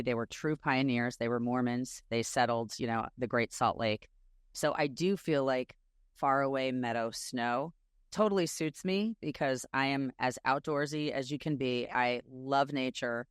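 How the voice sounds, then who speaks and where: A woman co-hosting a chatty podcast, telling stories with animated pitch jumps. Her voice is smooth and clear. It sits close to the mic in a dry, dead room. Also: no echo. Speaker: podcast co-host. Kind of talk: casual chat.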